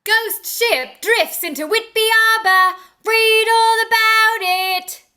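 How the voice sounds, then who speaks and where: An actress playing an eager street newspaper seller crying headlines in a 1930s-style radio play. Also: female voice, extremely high pitch, slow pace, pushed and bright, exaggerated voice. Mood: urgent, excited.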